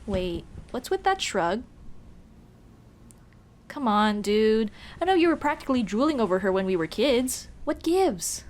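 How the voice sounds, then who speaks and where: A woman's voice: fairly high pitch, big pitch swings, a little slow, a little mellow. A woman playing a young tomboy childhood friend, casual, teasing and caring, in a scripted ASMR roleplay. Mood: curious, teasing. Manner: casual, teasing, addresses listener as dude. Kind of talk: ASMR roleplay, scripted one-sided conversation.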